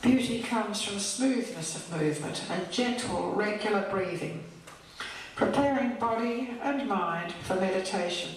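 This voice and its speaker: An older woman recites a poem at a slow, deliberate pace. Her voice is heard from a distance in a very echoey hall. There is some background noise around it. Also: medium pitch. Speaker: poet reading her own poem. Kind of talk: scripted poetry recital.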